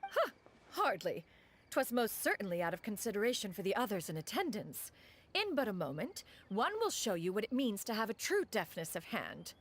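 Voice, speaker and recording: A woman's voice: medium pitch, wildly swooping pitch, moderate pace, husky. A woman playing a proud, refined, ancient immortal in a video game, with haughty, formal and archaic speech. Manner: haughty, archaic, formal, calls herself "one". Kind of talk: video game character dialogue.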